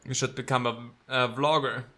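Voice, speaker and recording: A male let's player with a bright, forceful voice at an average pitch. He reads visual novel text aloud and drops in playful, wry asides. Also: fairly even intonation, moderate pace, soft background music.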